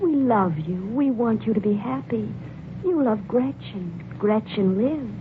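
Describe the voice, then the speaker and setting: An actress voicing a gentle, eerie female spirit of the lilies, chanting in drawn-out repeats, 1940s radio drama on an old recording. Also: fairly high pitch, expressive, narrow, tinny audio, background noise. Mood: soothing, eerie.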